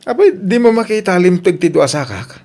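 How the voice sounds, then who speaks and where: A man's voice: high-pitched, expressive, moderate pace, a little mellow. A man with an Ilocano Filipino accent acting a plain-spoken, grumbling husband and uncle in a radio drama. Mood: pained, complaining.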